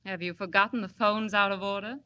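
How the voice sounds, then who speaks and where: A woman's voice: mid-range voice, steady tone, brisk, dull audio, slight background noise, close to an everyday voice. A woman playing a bold, scornful newspaper reporter with cutting delivery in a 1940s radio drama. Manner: cutting, forceful.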